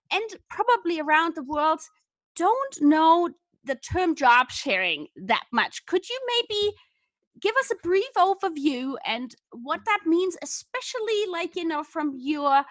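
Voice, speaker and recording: A woman's voice: extremely high pitch, a bit bright, no echo, low-quality recording. A woman hosting a podcast, reading a scripted intro and then asking questions in an enthusiastic, upbeat way. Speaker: podcast host. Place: Vancouver, Canada.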